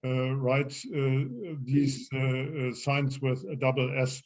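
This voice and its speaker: Halting, slow-spoken German man, muffled audio. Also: medium pitch, completely flat delivery, a little mellow. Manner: halting, searching for words. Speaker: language teacher. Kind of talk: explaining in an online language class.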